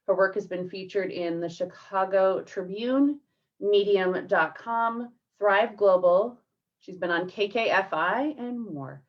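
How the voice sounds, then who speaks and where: Woman host, mid-pitch, reads intro then fumbles tech apologetically; distant, dull call audio. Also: slow pace. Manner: apologetic when handling tech trouble. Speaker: event host. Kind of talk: reading a speaker introduction.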